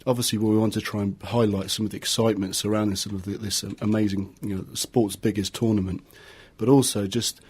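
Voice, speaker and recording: A man from Argentina with a low, husky voice speaks as a radio interview guest. His delivery is very even in pitch, earnest and explanatory, with words often repeated. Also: brisk, hardly any pauses. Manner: earnest, with frequent repetition. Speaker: project assistant manager, guest.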